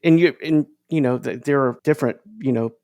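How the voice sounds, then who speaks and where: A man's voice: mid-range voice, fairly quick, darkish tone, no room sound, studio quality. A man hosting a casual interview conversation, enthusiastic and complimentary, often stumbling and repeating words. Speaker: podcast host.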